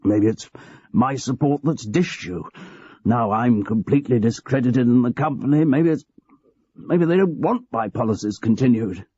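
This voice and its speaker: A man's voice: mid-range voice, normal speed, dark tone, breathy edge, no echo, voice pushed up, lightly acted. A man reading the part of an aging, petulant department head who talks in a testy, querulous way, on a dull lo-fi recording. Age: older.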